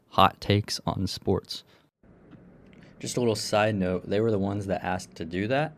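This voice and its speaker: A young man hosts a school podcast in an easygoing, casual way. His voice sits low, and the sound is dry and close. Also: no echo. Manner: relaxed, easygoing, some fillers. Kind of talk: casual student podcast intro. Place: school room.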